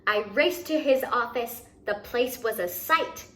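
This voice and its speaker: A woman reading a picture book aloud to children in an expressive, deliberate way, adding simple asides. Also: fairly high pitch, reverberant. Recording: noticeable background noise.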